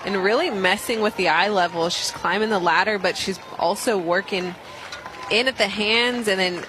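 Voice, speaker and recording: A woman giving conversational color commentary on a live softball radio broadcast. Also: medium pitch, normal speed. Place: outdoor softball stadium broadcast position. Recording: outdoor ballpark, clear crowd noise behind.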